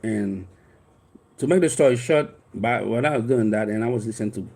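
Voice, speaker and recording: A man's voice: lowish voice, fairly quick, gravelly, darkish tone. A man in his fifties from Haiti with a Haitian accent, a life coach telling a personal story in a rambling, earnest way with frequent restarts. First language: Haitian Creole. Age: 50s.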